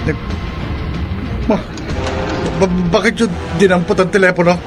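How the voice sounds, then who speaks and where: A man's voice: sits high, fairly even intonation, unhurried. A man playing a nervous young burglar in a radio drama, stammering and pleading yet defiant. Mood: nervous, placating. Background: music.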